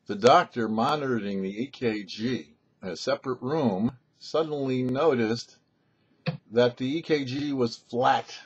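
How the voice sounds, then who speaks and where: A man telling a story as a show host, in a measured, deliberate narration with dramatic pauses. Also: medium pitch, slightly rough. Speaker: rabbi and radio storyteller, host. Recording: dull, muffled lo-fi recording.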